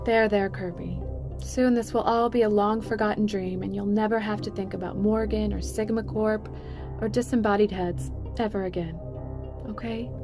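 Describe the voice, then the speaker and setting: An actress playing a cool, calculating corporate boss in an audio drama, speaking with smooth authority and condescension. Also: female voice, medium pitch, steady tone, normal speed, music in the background, close to an everyday voice. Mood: smoothly commanding.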